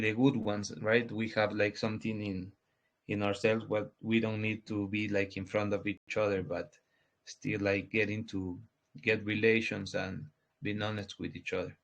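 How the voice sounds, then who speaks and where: Man, twenties, halting, dull muffled recording. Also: on the low side, flat delivery. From Ecuador. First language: Spanish. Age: 20s. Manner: halting, restarts often, searches for words. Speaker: guest, crypto project founder. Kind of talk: casual interview answers. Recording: dull lo-fi audio.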